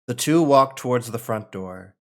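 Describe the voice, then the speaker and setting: A man's voice: medium pitch, lively intonation, moderate pace, a bit bright. A man reading out the stage directions and narration at a screenplay table read.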